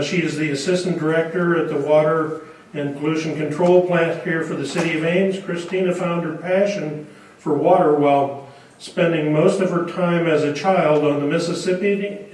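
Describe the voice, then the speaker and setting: A man introducing a speaker and reading event announcements, halting with many pauses. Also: fairly high pitch, a little hiss or hum. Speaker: event host. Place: auditorium. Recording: echoey hall, distant mic.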